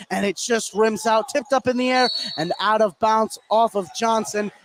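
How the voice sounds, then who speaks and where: A man doing live play-by-play basketball commentary as a radio announcer. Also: high-pitched. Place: indoor gymnasium, courtside. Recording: clear background noise from a gym.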